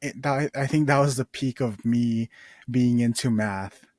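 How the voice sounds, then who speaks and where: A young man in his twenties hosts a casual podcast and tells a personal story at an unhurried pace. He rambles, restarts his sentences and drops in laid-back slang. The room sounds dry, with little reverb. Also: medium pitch, a little mellow. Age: 20s. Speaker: podcast host.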